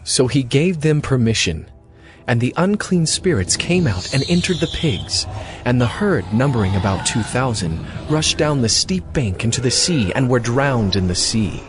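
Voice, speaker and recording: A man's voice: mid-range voice, big pitch swings, normal speed, over music. A man reading scripted narration for a dramatized audio Bible.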